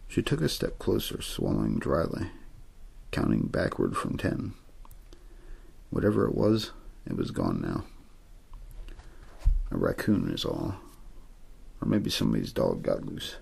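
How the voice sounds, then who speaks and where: A man with an extremely deep, heavily creaky voice reads a story in ASMR style. His tone is very husky and rough. He reads as an amateur aiming to lull the listener to sleep, without theatrics. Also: wildly swooping pitch, unhurried, pauses often, a little mellow. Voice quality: very low, gravelly, husky. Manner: untheatrical reading meant to lull to sleep.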